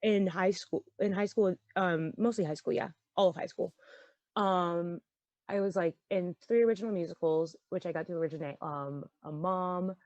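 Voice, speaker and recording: A woman who acts on a podcast, chatting casually with the panel in a halting, joking way. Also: on the low side, a little mellow, no echo, muffled recording. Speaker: podcast voice actor. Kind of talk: casual panel chat, post-show commentary.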